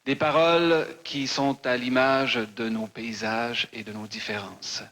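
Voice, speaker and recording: A man's voice: medium pitch, flat delivery, a little slow, fairly bright tone. A man from Manitoba hosting a concert evening, giving formal stage introductions of the performers. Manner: formal, announcing. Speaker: concert host.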